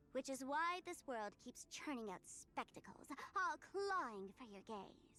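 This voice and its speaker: A young female trickster streamer from an anime-style video game. Her voice is very high and swoops around in lively, theatrical arcs. She is playful and teasing, mischievous and hungry for attention. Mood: sly, provocative. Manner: playful, teasing, theatrical, with giggles and drawn-out words.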